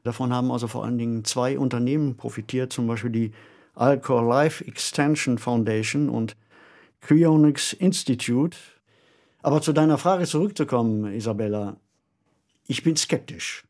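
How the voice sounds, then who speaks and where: A man talking as an expert on a podcast, explaining in a measured, didactic way and stressing key words. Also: medium pitch, darkish tone. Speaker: expert discussion partner on a podcast. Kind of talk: conversational explanatory talk.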